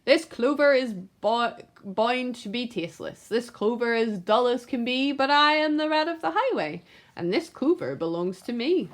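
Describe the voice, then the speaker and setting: A storybook rat highwayman voiced by a woman, greedy and bullying. The delivery is commanding and full of scorn. The pitch leaps about as he blusters through rhyming demands. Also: lively intonation, moderate pace, lightly acted. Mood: bullying, demanding. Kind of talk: rhyming picture-book read-aloud.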